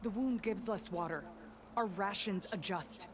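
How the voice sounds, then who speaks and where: A woman's voice: medium pitch, a little slow, noisy background, lightly acted. An actress playing a stern female desert clan commander who speaks curtly and will not bend, in video game dialogue. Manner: curt, unbending.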